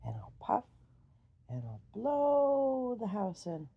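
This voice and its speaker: A woman voicing a menacing, demanding storybook wolf who makes forceful, drawn-out threats. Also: fairly high pitch, huge pitch swings, vocal fry, slightly rough, phone-quality sound, pitched above the performer's own voice, full character voice. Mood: menacing, blustering. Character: big bad wolf, menacing, demanding, blustering storybook villain.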